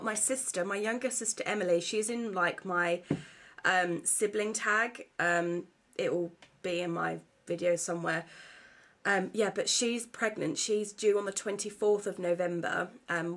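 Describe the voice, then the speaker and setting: A woman's voice: mid-range voice, pushed and bright. A young woman talks casually to a camera at home. She rambles along with frequent fillers, and her voice sounds congested from a blocked nose. The sound is simple, picked up by the camera's own mic. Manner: rambling and chatty, full of fillers. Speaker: vlogger.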